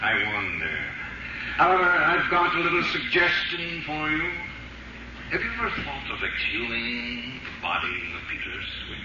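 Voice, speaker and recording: An American man playing a mysterious, mocking, coolly commanding vigilante in a theatrical, deliberate 1930s radio drama style. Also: medium pitch, sing-song, natural voice. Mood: sinister, mocking. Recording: noisy muffled 1930s radio recording, distant, echoey.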